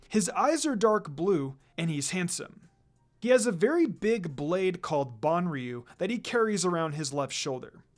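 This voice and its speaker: A man narrating fan fiction as an expressive storyteller. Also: high-pitched.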